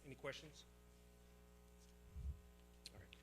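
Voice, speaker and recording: A man reporting to a town council for the fire department, speaking haltingly with many fillers and restarts. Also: over music, poor audio.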